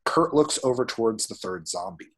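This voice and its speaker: A man's voice: medium pitch, animated, moderate pace, a bit bright. A man reading scripted screenplay action descriptions as the narrator of a table read. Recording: home recording.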